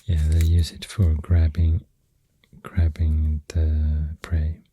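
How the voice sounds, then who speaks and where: A soft-spoken ASMR host with a Swedish accent, rambling gently through facts in a hushed, breathy, whispery voice with unhurried pauses, close to the microphone. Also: extremely low pitch, completely flat delivery, a little hiss or hum. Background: pencil writing and clicking sounds.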